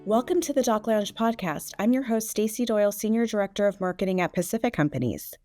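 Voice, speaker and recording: A woman's voice: medium pitch, animated, fast talker, warm tone, faint music behind. A woman hosting a podcast, introducing her guest enthusiastically and asking interview questions. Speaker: podcast host.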